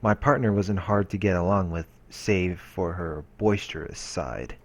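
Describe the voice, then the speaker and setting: A man with a low voice reads visual novel narration aloud as a let's player, dropping in casual asides. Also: unhurried. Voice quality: low-pitched. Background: game music.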